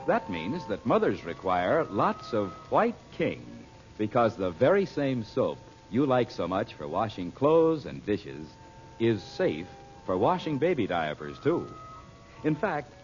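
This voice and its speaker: A man with an American accent announces in 1940s radio fashion. His delivery is polished and emphatic with a lively, swinging intonation, heard over music on a dull old recording. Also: lowish voice.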